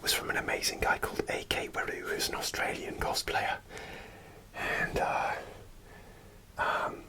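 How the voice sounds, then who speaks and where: A man whispering an ASMR show-and-tell in a soft, unvoiced whisper, hesitant and full of small fillers, partly from inside a costume helmet. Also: unhurried. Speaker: ASMR creator.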